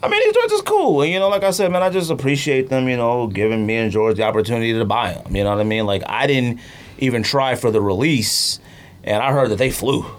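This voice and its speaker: A man's voice: mid-range voice, expressive, fast talker. A man hosting a podcast in a casual, slangy, playful chat about sneakers. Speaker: podcast host. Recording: clearly noisy background.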